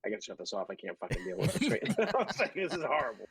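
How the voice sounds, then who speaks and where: A man's voice: mid-range voice, huge pitch swings, very fast, fairly bright tone, slightly rough, dry recording, clean studio sound. A young man with a thick Boston accent, chatting casually as a podcast co-host, joking at his own expense and laughing often. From Boston area, USA. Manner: self-deprecating, laughs often, swears casually. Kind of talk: casual chat.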